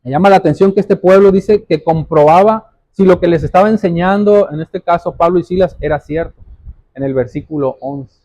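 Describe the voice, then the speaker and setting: A man's voice: high voice, a little fast, warm tone, no echo. A man preaching a sermon, explaining scripture didactically, repeating key phrases and putting direct questions to his listeners. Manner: addresses listeners directly as brothers.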